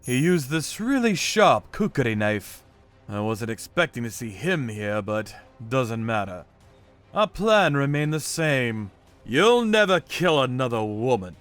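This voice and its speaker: Grim vigilante killer narrating manga story, slow n hard-edged, cool menace, music behind. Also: male voice, medium pitch, big pitch swings, fairly bright tone, clear, resonant tone. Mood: grim, resolute. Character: grim vigilante torturer narrating his revenge work, coolly menacing. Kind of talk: scripted dramatic manga narration.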